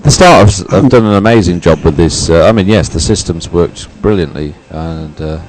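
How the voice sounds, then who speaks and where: A man's voice: low-pitched, lively intonation, normal speed, darkish tone, faint music behind, low-quality recording. A man co-commentating live on a sporting event in a conversational, unscripted style.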